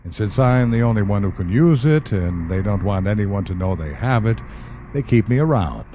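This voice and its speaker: An actor in an audio play as a calm, wry government specialist, speaking in a measured, matter-of-fact and dryly polite way. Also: male voice, on the low side, slight background noise, natural voice. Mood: wry, explanatory. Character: calm, wry male government specialist who questions the dead. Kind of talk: audio drama acting.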